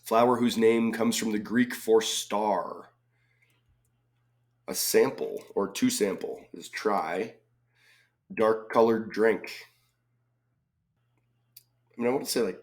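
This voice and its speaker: A man with a slightly hoarse voice from a cold, casually thinking aloud as he solves a crossword, reading clues and muttering guesses. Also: fairly low pitch. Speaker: crossword solving video host.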